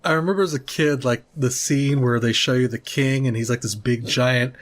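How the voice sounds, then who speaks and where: Male podcast panelist, mid-range voice, relaxed conversational delivery in a group film chat. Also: mid-range voice, moderate pace. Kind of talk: casual panel chat about films.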